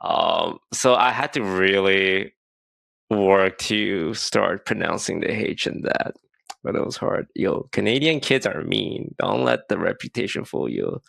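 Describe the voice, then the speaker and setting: A man's voice: low voice, animated, measured pace, vocal fry, fairly bright tone, dry recording. A man in his thirties from Malaysia, co-hosting a casual podcast chat and telling stories with a wry edge. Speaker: podcast co-host.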